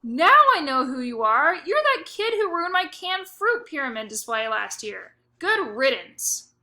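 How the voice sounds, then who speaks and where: A woman voicing a male grocery store butcher who curtly fires a boy and shouts after him. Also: high voice, very animated, measured pace, pitched above the performer's own voice, heavily acted. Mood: angry. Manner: curt, shouting.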